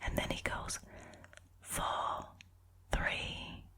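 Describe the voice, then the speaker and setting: A woman speaking in a breathy whisper, telling short stories and chatting casually about them in a relaxed ASMR style. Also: extremely slow. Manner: informal, chatty, amused. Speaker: host reading and reacting to short stories.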